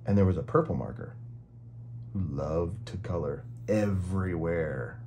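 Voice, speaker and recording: A man reads a children's story in a low, mellow voice. His delivery is expressive and lively, with emphasis on key words. A faint hum sits behind him. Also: measured pace. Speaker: story reader. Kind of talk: children's picture book read-aloud.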